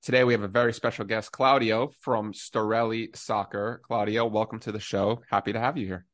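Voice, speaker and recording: A man's voice: fairly low pitch, flat delivery, fairly quick, a bit bright. A young man co-hosting a podcast, upbeat and casual as he interviews a guest. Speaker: podcast co-host.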